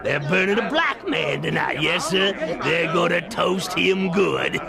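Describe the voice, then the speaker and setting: An actor playing an excitable, menacing small-town man in a radio drama, folksy and gleefully cruel. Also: sits high, a little slow, gravelly, heavily acted. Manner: folksy, shouting, leering. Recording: shouted over loud crowd noise.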